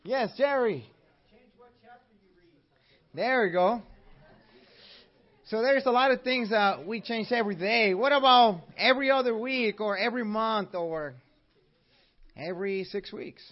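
A man's voice: high-pitched, unhurried, long pauses. A man from Mexico with a Mexican accent, teaching a church class and asking his audience questions in an upbeat way. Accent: Mexican Spanish. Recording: dull lo-fi recording.

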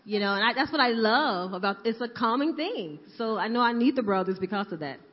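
A woman's voice: on the high side, animated, a little fast. A woman earnestly sharing her thoughts in a group, reflective and prone to repeating herself. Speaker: church group member. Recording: echoey hall, dull sound.

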